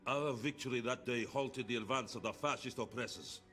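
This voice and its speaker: An actor playing a hardline, zealous Soviet officer in a game cutscene, speaking in a stern, declamatory, authoritative manner. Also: male voice, medium pitch, a little fast, bright, forceful tone, lightly acted. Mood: stern, assured. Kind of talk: dramatic game cutscene dialogue.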